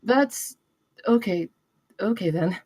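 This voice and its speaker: A woman reading the part of an anxious, awkward young woman in a screenplay table read, halting and stammering. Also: mid-range voice, lively intonation, darkish tone, husky, no room sound. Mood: subdued, unsure.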